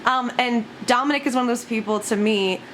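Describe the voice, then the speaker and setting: Young female vlogger, bright higher voice, a little scratchy, rambling and laughing often. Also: fairly quick. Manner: giggly, rambling, frequent laughs and swearing. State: scratchy just-woken voice. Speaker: YouTuber. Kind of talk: casual vlog chat.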